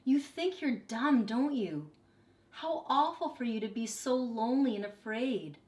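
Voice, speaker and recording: A woman voicing a kind, gentle male schoolteacher in a children's picture book, speaking earnestly and reassuringly. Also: fairly high pitch, expressive, measured pace, pitched above the performer's own voice. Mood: tender, reassuring.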